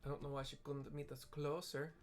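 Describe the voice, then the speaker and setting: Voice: mid-range voice, flat delivery, brisk, faint music behind. A streamer casually commenting on their gameplay, rambling and self-deprecating while thinking aloud. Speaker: streamer playing a game.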